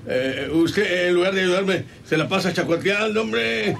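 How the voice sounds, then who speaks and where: An actor playing a gruff, practical, scolding ranch man with a rural Mexican accent in a radio drama. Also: sits high, expressive, a little slow, a bit bright, faint music behind, heavily acted. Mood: exasperated. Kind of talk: radionovela acting. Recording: noisy old radio drama recording.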